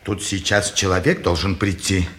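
A man's voice: deep voice, little pitch movement, normal speed, a bit bright. Grumbling middle-aged father. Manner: grumbling, sententious. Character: grumpy, scolding watchmaker father. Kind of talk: 1950s Soviet stage drama acting. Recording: 1950s roomy theatre recording.

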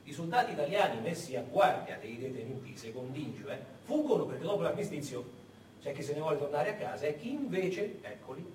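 A male stage storyteller delivers a dramatic historical narration, often putting questions to his listeners. His voice is high and swings widely in pitch, heard in an echoey room. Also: a little mellow. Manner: dramatic, addresses the audience with rhetorical questions. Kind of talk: theatrical narration of wartime history. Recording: echoey room, distant mic, faint noise.

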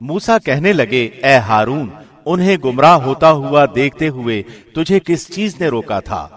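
A man reads a scripture translation in a solemn, measured and formal way, like a professional radio presenter. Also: medium pitch, expressive.

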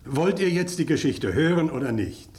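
An actor playing a gossipy, knowing spoon in a German radio drama, telling things with suspense and dramatic pauses. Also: male voice, a little high, animated, a little mellow, close to an everyday voice. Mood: insistent. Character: gossipy, knowing talking spoon, relishing scandal.

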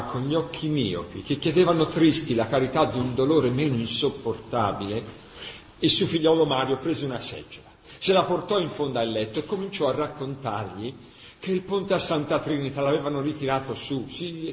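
An actor playing a passionate Tuscan storyteller in a radio drama, telling wartime tragedy in an emphatic, theatrical way. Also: male voice, mid-range voice, close to an everyday voice. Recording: echoey room, faint background noise.

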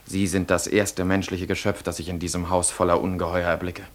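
Male actor as idealistic young romantic, radio play, fairly deep pitch, impassioned, lyrical, proud. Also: little pitch movement, brisk, close to an everyday voice. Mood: pleading, ardent. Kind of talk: German radio play acting.

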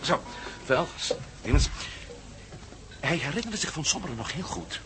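Chatty curious investigator in old radio drama, kinda high voice, noisy tape. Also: male voice, big pitch swings, a little slow. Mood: chatty, confiding. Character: eager, talkative amateur male investigator, genial and curious. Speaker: actor in a radio play. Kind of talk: Dutch radio drama acting. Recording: noisy, hissy radio play recording.

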